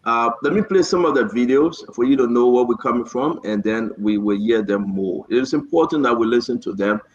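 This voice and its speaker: A man's voice: medium pitch, a little fast. Man hosting live talk, roomy echo. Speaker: talk show host. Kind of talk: live call-in talk show hosting, conversational. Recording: roomy echo, dull lo-fi sound.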